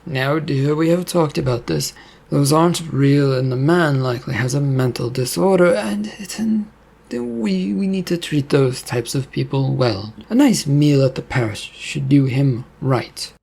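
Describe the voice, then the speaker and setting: A man playing a kindly, soft-hearted preacher in an audiobook story, gentle, placating and pious. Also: on the high side, unhurried, creaky, breathy edge, heavily acted.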